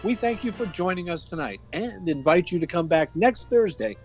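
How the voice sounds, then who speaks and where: A man's voice: sits high, lively intonation, normal speed, soft background music. A man closing a radio play as announcer, reading cast credits in an upbeat manner. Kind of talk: radio show sign-off.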